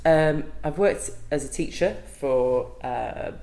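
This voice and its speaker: A woman's voice: deep voice, slow pace, dark tone. A woman guest on a podcast, talking openly and thoughtfully in a casual interview, with frequent ums and restarts. She is not from Wales. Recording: echoey room.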